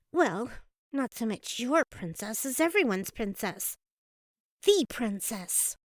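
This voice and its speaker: A woman's voice: a little high, expressive, takes it slowly, no room sound, studio quality, heavily acted. A woman playing a young, haughty and dramatic princess in an audiobook, with a puckish, proper, theatrical delivery. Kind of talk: audiobook character acting.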